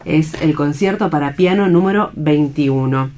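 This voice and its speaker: A man in a conversational radio discussion, speaking with halting phrases as he searches for words. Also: on the high side, over music. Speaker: radio panelist.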